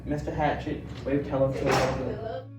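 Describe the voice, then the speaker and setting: Male storybook reader, halting and stumbling, echoey and away from the mic. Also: fairly high pitch, big pitch swings. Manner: halting, trips over words. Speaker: student reader. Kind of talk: reading a children's storybook aloud. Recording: roomy, distant from mic, loud music. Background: music.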